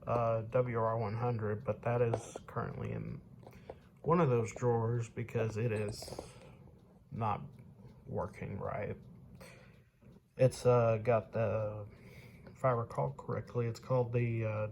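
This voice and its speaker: Slow-talking young man, casual and halting. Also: mid-range voice, little pitch movement, vocal fry. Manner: halting, pausing mid-sentence. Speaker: hobbyist showing weather radios. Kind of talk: casual unscripted product demonstration. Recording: home recording with faint background noise.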